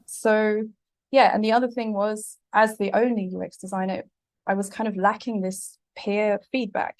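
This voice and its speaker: A woman from southeast London with a British accent, giving a presentation as a guest speaker in a casual, halting way with fillers and restarts. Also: medium pitch, flat delivery, a little mellow, no room sound. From England. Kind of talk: presentation talk.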